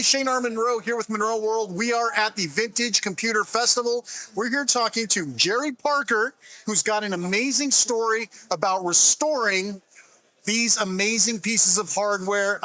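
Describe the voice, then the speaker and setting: Bright, high-voiced male host. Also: low-quality recording. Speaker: interviewer and video host. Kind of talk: upbeat on-location interview. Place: festival exhibit floor.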